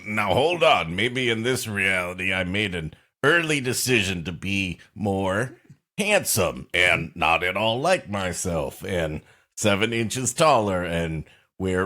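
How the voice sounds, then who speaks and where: A man co-hosting a podcast, trading deadpan jokes and laughing at his own quips. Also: on the low side. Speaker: podcast co-host. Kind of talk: casual comedic banter.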